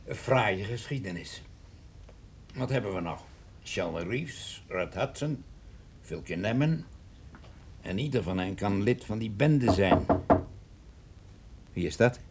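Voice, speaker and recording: An actor playing a suspicious, insinuating drug investigator in a radio drama, questioning in a needling way. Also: male voice, fairly low pitch, animated, takes it slowly, long pauses, darkish tone, slight background noise. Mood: businesslike. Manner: insinuating, interrogating.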